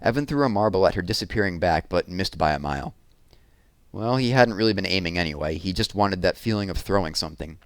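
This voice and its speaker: Low-voiced man reading a children's book aloud. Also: expressive, fast talker, dry recording. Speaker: read-aloud narrator. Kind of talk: expressive children's chapter book read-aloud.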